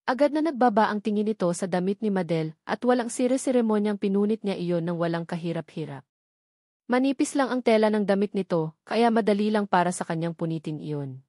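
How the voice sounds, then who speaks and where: Female audiobook narrator, mid-pitched, reading scripted dramatic fiction in a dry recording. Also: warm tone, no echo.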